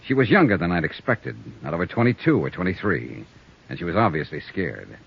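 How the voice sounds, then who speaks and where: A man's voice: deep voice, sing-song, brisk, vocal fry, slightly rough, exaggerated voice. An actor playing a terse, hard-boiled insurance investigator who narrates his case in an old radio detective show. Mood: narrating. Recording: dull old radio broadcast with faint music.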